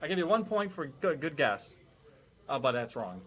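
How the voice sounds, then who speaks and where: A man's voice: a little high, big pitch swings, moderate pace, a bit bright. A man hosting a casual comedic quiz as a teacher, explaining things in a nerdy, wordy, playful way. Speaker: quizmaster host playing a teacher.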